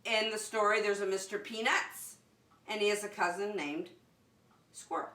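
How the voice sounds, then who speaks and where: A woman reading a picture book aloud to young children as a circle time teacher, expressive and speaking directly to her listeners. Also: medium pitch, normal speed, roomy sound. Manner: expressive, addresses young listeners with questions and prompts.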